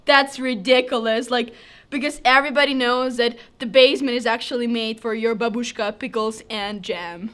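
A woman's voice: on the high side, steady tone, normal speed, a bit bright. Playful teen girl from Ukraine. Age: teens. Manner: playful and boastful. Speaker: exchange student. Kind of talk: casual school TV interview.

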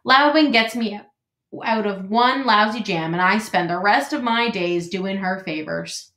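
A woman's voice: medium pitch, a little slow, sharp tone. Grumbling, stubborn male beaver, voiced by a woman reader, in a roomy echo. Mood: gruff. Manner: gruff, grumbling.

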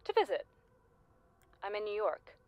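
A woman's voice: mid-range voice, wildly swooping pitch, normal speed, no room sound. An actress playing a lonely, needy woman in an audio play, wistful and pointed as she clings to an old school friend. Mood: insistent. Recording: slightly dull, dry recording.

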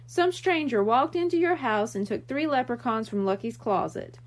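A woman voicing a calm, authoritative small-town policeman in a children's storybook, with a measured manner. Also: fairly high pitch. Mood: skeptical.

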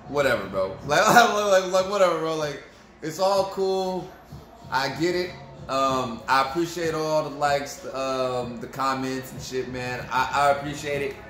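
A man's voice: on the high side, unhurried, a bit bright, echoey room, a little hiss or hum. A young man ranting profanely and with frustration to his viewers in a sports fan vlog, recorded at home in front of a camera. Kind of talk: ranting post-game fan review. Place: room at home, indoors.

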